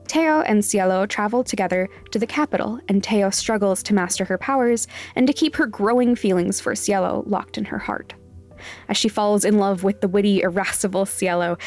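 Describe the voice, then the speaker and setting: With music behind her, a woman reads scripted material as a podcast host. Her voice sits at a medium pitch and she speaks as an announcer to listeners. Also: darkish tone.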